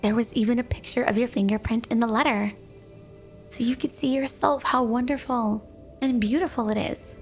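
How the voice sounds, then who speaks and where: Woman acting out obsessive snow woman wife, fairly high voice, sugary, doting, flirty ASMR roleplay, faint music underneath. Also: steady tone, moderate pace, natural voice. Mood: adoring, sweet. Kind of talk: ASMR roleplay addressed to the listener.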